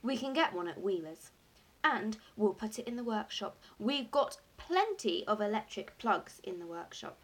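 A woman voicing a jubilant, boastful father in a storybook, excited and grandly emphatic as key words are drawn out. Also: medium pitch, moderate pace, husky. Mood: grand, enthusiastic. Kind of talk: acted audiobook dialogue.

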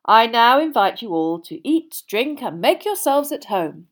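Woman playing old male headmaster, genial, dignified, formal oratory, very expressive pitch, audiobook character. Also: a little slow. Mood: formal, cordial. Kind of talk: acted dialogue in an audiobook reading.

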